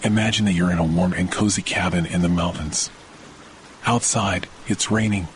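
A man narrating a guided sleep meditation in a slow, soothing, measured way with long pauses. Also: rough recording. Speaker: meditation podcast host. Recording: rain and thunder noise throughout. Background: steady rain and rumbling thunder.

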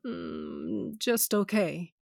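A woman's voice: medium pitch, extremely slow, gravelly, background noise, full character voice. A woman playing a wry, blunt aunt and battle-hardened veteran in an audio drama, laid-back and dry-humored. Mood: supportive.

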